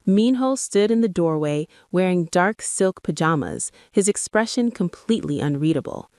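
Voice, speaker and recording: Female storyteller, scripted dramatic narration, lowish register, close dry recording. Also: sing-song, normal speed, darkish tone, no room sound.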